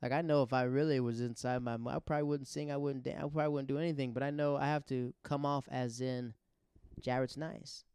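A man's voice: mid-range voice, flat delivery, fairly quick, a little mellow, smooth, no echo, studio quality. A man talking in a casual, conversational monologue as the host of a show, often acting out remarks he quotes. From grew up abroad in the Netherlands and Japan. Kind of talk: casual personal monologue on social issues.

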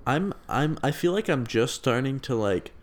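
A young man of twenty talks in a relaxed, earnest way as a podcast co-host. His voice sits in an ordinary middle pitch. He pushes louder when he drives a point home. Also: moderate pace. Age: 20s. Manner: earnest, rising loud when making a point. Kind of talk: casual chat.

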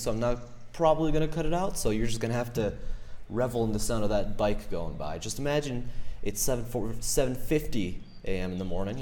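A man's voice: fairly low pitch, darkish tone, slight background noise. An eighteen-year-old man from Ecuador with an Ecuadorian accent, hosting his own podcast as a casual, rambling solo monologue full of fillers and self-deprecating asides. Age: teens. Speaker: podcast host. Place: room at home.

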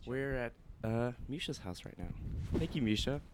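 A man's voice: mid-range voice, animated, moderate pace, background noise. A young man hosting a casual home podcast, joking around and laughing often with his friends. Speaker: podcast host. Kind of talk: casual chat.

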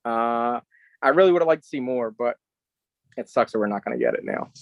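A man's voice: medium pitch, huge pitch swings, brisk, creaky, no echo. A man co-hosting a podcast, chatting casually and giving his opinions. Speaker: podcast co-host.

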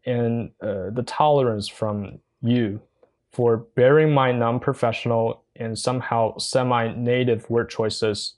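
A young man from China with a Mandarin Chinese accent, a non-native speaker hosting his own podcast, talking earnestly and carefully with frequent pauses and fillers. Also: medium pitch, fairly even intonation, a little mellow. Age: young adult. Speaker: podcast host. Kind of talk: solo podcast monologue.

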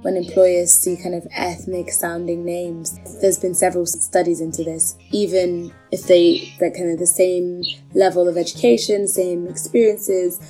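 A young woman talks casually, hedging often with fillers. Her voice is lowish and even in pitch. Faint music sits underneath. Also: moderate pace, few pauses, poor audio. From London. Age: 20s. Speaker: interviewee.

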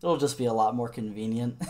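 A young man chats about his week in an easy, rambling way, laughing often and circling back through half-finished sentences. His pitch sits right in the middle for a man, steady and unremarkable. He sounds like a student co-hosting a casual film podcast. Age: young adult. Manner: laughs often, relaxed storytelling with many likes and restarts. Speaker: podcast co-host. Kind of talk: casual chat.

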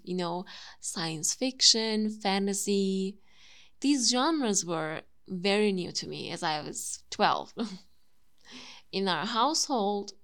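Woman, Turkish accent, young adult, slow deliberate delivery, personal podcast monologue. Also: medium pitch, a bit bright. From Turkey. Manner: clear, measured explaining. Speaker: podcast host.

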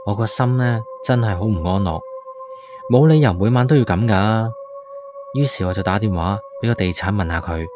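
A man's voice: on the low side, moderate pace, lightly acted. A man playing a frightened tenant, narrating a horror radio drama in an anxious, suspenseful way. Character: frightened male tenant recounting his haunting. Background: background music.

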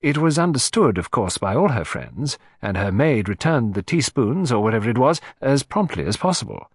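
A man voicing a fussy, excitable society gentleman in an audiobook, with a fluttery, emphatic way of talking. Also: mid-range voice, normal speed, slightly rough, no room sound, clean studio sound. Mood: flustered.

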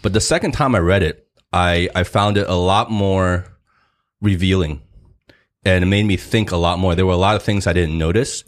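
A man co-hosting a podcast, talking at length in a loose, conversational way. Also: sits low. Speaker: podcast co-host. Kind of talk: casual podcast chat.

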